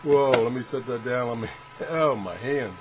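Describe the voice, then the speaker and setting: A man with a mid-pitched voice gives a casual, hands-on gadget demonstration in his workshop. He rambles in a folksy, self-deprecating way. Steady fan and room noise sits clearly behind him. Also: moderate pace. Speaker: video host reviewing gadgets. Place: home workshop, indoors.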